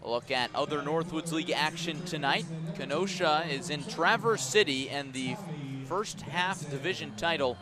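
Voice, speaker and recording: A man calling baseball play-by-play live on the radio, measured in delivery and punchy on the action. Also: on the high side, a crowd in the background. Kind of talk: live radio sports commentary. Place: outdoor ballpark.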